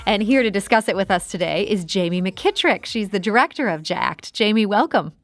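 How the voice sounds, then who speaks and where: A woman's voice: mid-range voice, animated, a little fast. A woman hosting a conversational public radio interview, introducing guests and asking questions.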